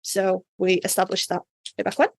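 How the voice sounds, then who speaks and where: A woman co-host talks in a chatty, playful way, often restarting her sentences mid-thought. Her voice sits at a middle pitch. It sounds close and dry, with little room sound. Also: completely flat delivery, normal speed, dry recording. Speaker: podcast co-host. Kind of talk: casual chat.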